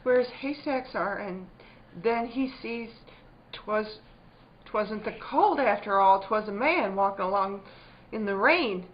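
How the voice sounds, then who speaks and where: An actress playing a firm but troubled country wife in a spooky radio drama, speaking in plain rural dialect. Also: female voice, medium pitch, slow, lightly acted. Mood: hushed storytelling. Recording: dull, muffled lo-fi recording.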